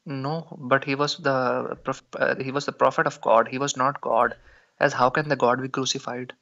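A man's voice: mid-range voice, steady tone, normal speed, vocal fry, sharp tone. A man calling in, polite and hesitant, asking questions with many fillers. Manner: polite, hesitant, says sir often. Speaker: caller. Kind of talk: call-in question. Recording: dull lo-fi call line.

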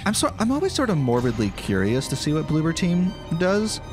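A man's voice: a little high, huge pitch swings, fairly quick. A man co-hosting a podcast in casual live reaction chat. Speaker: podcast co-host. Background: showcase trailer audio and music.

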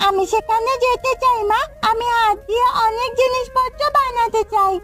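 খুব চিকন গলার জেদি ছোট্ট মেয়ে. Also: female voice, very high voice, steady tone, normal speed, a bit bright. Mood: curious, pleading. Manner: pleading, insistent questioning. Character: inquisitive, stubborn little village girl. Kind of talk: Bengali cartoon fairy-tale acting. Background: background music.

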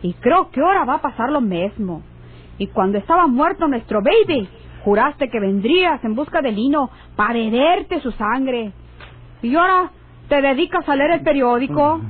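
An actress playing a bitter, nagging Mexican wife in an old radio drama, complaining with scorn. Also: female voice, sits high, lively intonation, moderate pace, husky. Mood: bitter, scornful. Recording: tinny, band-limited old radio recording.

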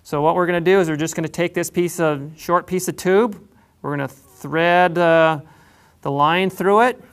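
Smooth-voiced man teaching fishing rigs. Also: a little high, fairly bright tone. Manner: plain, unhurried explaining with frequent "uh" pauses. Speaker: fishing instructor. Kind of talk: instructional demonstration.

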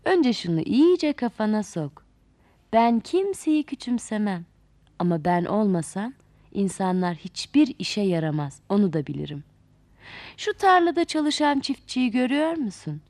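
A woman voicing a calm, wise old grandfather in a children's storybook, fond and gently admonishing. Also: medium pitch, measured pace, no room sound, voice pushed down. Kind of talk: storybook character voice.

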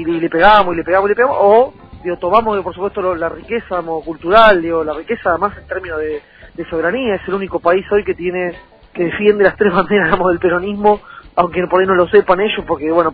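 High-pitched, fast-talking Argentine man, tinny sound. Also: husky. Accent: Rioplatense Argentine. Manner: emphatic, repetitive, halting phrasing. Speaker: political candidate interview guest. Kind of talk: radio interview, spontaneous political talk. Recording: tinny phone-like sound over music.

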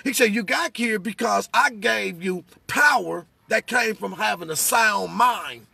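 A middle-aged American man with a high, gravelly voice and a husky edge. He preaches conversationally, testifying emphatically and repeating his points for weight. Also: measured pace, pushed and bright, no echo. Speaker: religious speaker. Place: inside a parked vehicle.

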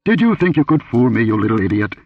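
A man voicing an angry, contemptuous barbarian warrior, barking and rumbling his words in a pulp adventure story. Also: big pitch swings, moderate pace, slightly rough, distant mic. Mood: contemptuous triumph. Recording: dull lo-fi audio.

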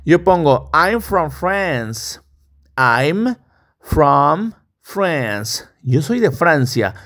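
A man from Peru with a Peruvian Spanish accent, a native Spanish speaker teaching English step by step and modelling phrases deliberately for repetition. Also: fairly high pitch, very animated, a bit bright. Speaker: English teacher, online course host. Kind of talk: step-by-step language lesson with repetition drills.

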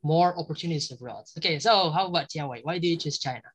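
A man's voice: fairly high pitch, fast, fairly bright tone, breathy edge. A young man hosting a casual video-call interview as a student ambassador, friendly and full of fillers, with Indonesian as his first language. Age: young adult. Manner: friendly, filler-heavy, laughs often.

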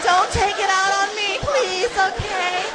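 Man doing a high, panicky young female clerk. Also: steady tone, unhurried, over music, crowd noise. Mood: panicked, pleading. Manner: flustered, pleading. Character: panicky, frightened young woman at hotel counter. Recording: live stage recording with noisy audience laughter.

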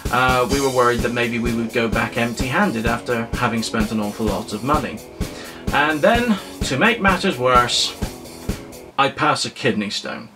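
A man in his thirties tells a meandering story to camera in a small indoor room, full of uhs and self-deprecating asides. He sounds a little distant from the mic, and guitar music plays clearly behind him. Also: mid-range voice. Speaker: vlogger.

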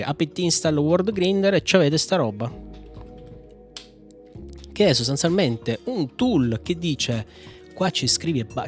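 A man giving a casual screencast tutorial, thinking aloud in a jokey, rambling, self-mocking way. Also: on the high side. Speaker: tech YouTuber presenting a software tutorial.

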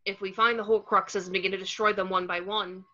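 A woman's voice: medium pitch, level tone, brisk, bright, forceful tone, lightly acted. A woman reading the part of a loyal, blunt British teenage boy wizard at a table read on a video call. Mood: earnest. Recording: muffled lo-fi video call audio.

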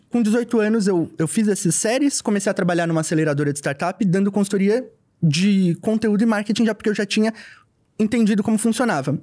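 A man's voice: high voice, darkish tone. A young man in his twenties with a Brazilian accent, chatting informally and with slang as a podcast guest, close on a studio mic. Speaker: podcast guest, filmmaker and content entrepreneur. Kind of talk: casual interview chat, personal storytelling. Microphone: close studio mic on boom arm.

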